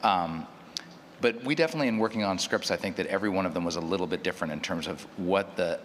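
A man with a deep voice explains his approach as a panelist. The room is a reverberant hall, with faint noise behind him. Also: fast talker, fairly bright tone. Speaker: panelist, audio drama producer.

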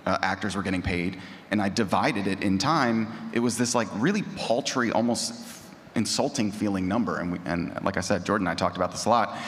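A man with a low voice talks in a reverberant hall with faint background noise. His panel delivery is casual and rambling, like someone thinking aloud among peers. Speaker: panelist, audio drama creator. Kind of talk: conversational panel discussion. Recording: echoey noisy hall with room ambience.

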